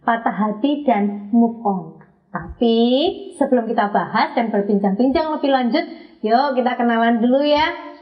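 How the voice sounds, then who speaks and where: A woman hosts a relaxed podcast chat, steering guests with friendly questions. Her voice sits a little high. She sounds set back from a dull, muffled microphone. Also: normal speed. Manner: friendly, leading questions, laughs often. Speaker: podcast host. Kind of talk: hosting a casual podcast chat. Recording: muffled, distant mic.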